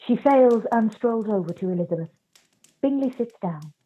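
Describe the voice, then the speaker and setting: A mellow-voiced woman narrates a radio play from a script. Her voice is medium in pitch, heard through slightly dull video-call audio. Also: animated, normal speed.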